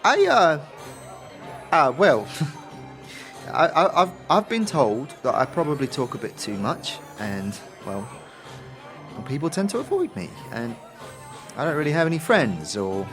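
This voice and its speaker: A man's voice: on the high side, very animated, unhurried, long pauses, music in the background. A man voicing a shy, lonely, eager young woman who rambles and stammers in a fantasy audio drama. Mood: nervous, lonely. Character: shy, lonely, chatty, eager young female market girl.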